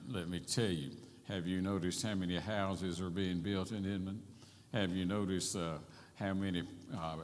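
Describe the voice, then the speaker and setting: An elderly man preaches in a low-pitched voice. He is plain-spoken and folksy, leaning on repetition as he tells personal stories. His words carry a long reverb from the large church sanctuary around him. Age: 70s or older. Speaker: Baptist pastor. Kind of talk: sermon with personal storytelling.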